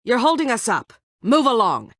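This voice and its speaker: A woman's voice: on the high side, very animated, normal speed, a bit bright, no echo. A woman playing a bitter, guarded former admin and ex-prisoner in a video game, curt and dryly sarcastic. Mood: impatient, sardonic. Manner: curt, dry sarcasm, brooding.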